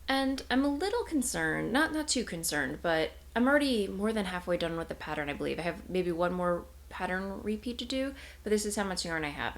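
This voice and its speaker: Tired, nasal young woman rambling casually. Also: medium pitch. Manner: rambling, self-correcting, giggly. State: congested, slightly nasal. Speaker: knitting podcast host. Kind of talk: casual chatty video podcast.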